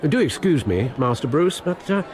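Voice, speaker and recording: Polite old butler in a game, very formal, expressive, people chattering behind. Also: male voice, fairly high pitch, normal speed, a crowd in the background. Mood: polite, discreet. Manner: formal, deferential. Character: courteous, discreet elderly male butler. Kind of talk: video game voice acting.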